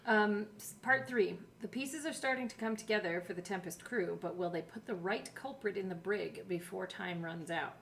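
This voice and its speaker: A woman in the role of podcast host, talking in a casual, chatty way. She moves between loose conversation and reading aloud, and is quick to laugh. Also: medium pitch, creaky, slight background noise. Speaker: podcast host reading episode summaries and asking questions. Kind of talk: casual chat with read-out summaries.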